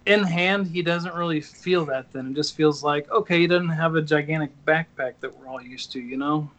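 A man's voice: fairly high pitch, normal speed, fairly bright tone. A man in casual chat, rambling and hesitant as he shows off toys. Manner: rambling, hesitant, many fillers.

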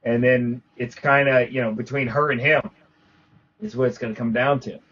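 A man's voice: mid-range voice, steady tone, normal speed, fairly bright tone, breathy edge, dull audio. A man co-hosting a casual talk show about collecting, rambling with frequent restarts and fillers. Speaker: podcast co-host.

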